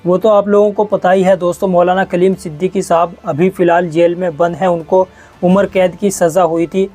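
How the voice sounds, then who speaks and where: A man's voice: high-pitched, level tone, normal speed, a little mellow. A man presenting news informally to viewers, explaining and rephrasing his points as he goes. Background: background music.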